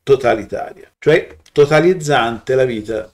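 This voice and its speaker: A man, giving a reflective commentary to his webcam with frequent pauses, fillers and restarts. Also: medium pitch, a little mellow. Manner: hesitant, many fillers and restarts, thoughtful. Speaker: video blogger.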